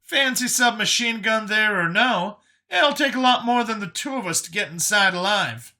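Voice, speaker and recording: A man's voice: high voice, expressive, normal speed, bright, forceful tone, voice pushed up, full character voice. A man voicing a rueful escaped prisoner in an audio story, with an earnest, strained and emphatic delivery. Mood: grave, discouraged.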